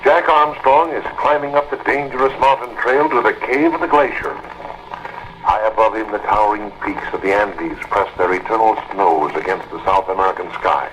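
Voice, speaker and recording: A man announcing an old radio adventure serial in a dramatic, declamatory style. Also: lowish voice. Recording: dull vintage radio recording with music.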